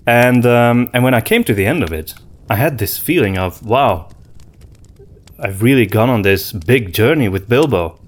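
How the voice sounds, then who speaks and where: A man co-hosting a podcast in casual conversation, reflective and often pausing to search for his words. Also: mid-range voice, animated. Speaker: podcast co-host.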